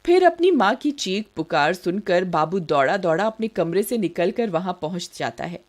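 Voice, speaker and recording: A woman reading scripted narration for an animated horror and moral story. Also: on the low side, moderate pace.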